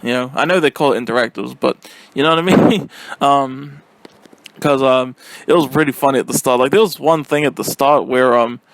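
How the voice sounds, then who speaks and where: A man giving a casual, rambling spoken review, halting and slangy, with a silly, giggly manner. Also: mid-range voice. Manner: silly, giggly, easily cracks up. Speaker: vlogger giving film and game reviews.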